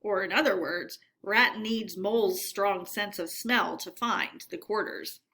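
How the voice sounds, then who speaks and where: A woman narrating a storybook read-aloud in an expressive, measured, teacherly way. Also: medium pitch.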